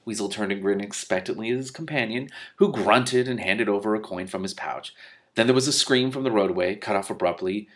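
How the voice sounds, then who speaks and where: A man's voice: fairly low pitch, a little fast. A man reading a novel aloud as host, acting out dialogue expressively and adding casual asides.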